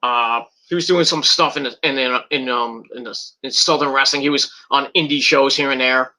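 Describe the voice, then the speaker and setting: Very bright-voiced man with a cold. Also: medium pitch, fairly even intonation, low-quality recording. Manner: rambling, halting with many fillers and restarts. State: has a cold with a tickling cough. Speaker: wrestling video reviewer. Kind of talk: casual rambling vlog.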